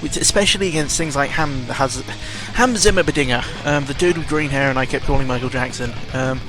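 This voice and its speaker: A man's voice: mid-range voice, fairly quick. A young man ranting casually about video games, exasperated and full of fillers. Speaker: gaming vlogger. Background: video game music.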